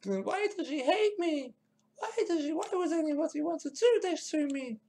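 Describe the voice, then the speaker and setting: A man putting on a whiny, self-pitying woman in falsetto. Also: expressive, moderate pace, darkish tone, slight background noise. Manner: falsetto wailing.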